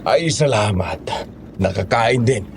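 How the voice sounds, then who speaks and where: Man acting a low-voiced, weary Filipino cook. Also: animated, takes it slowly, noisy background. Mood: relieved. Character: weary, hungry, cautious man hired as cook.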